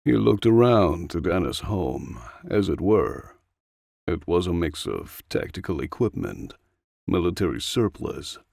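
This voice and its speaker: A man's voice: sits low, vocal fry, darkish tone, no echo, studio quality. A man reading a story aloud as scripted audiobook narration.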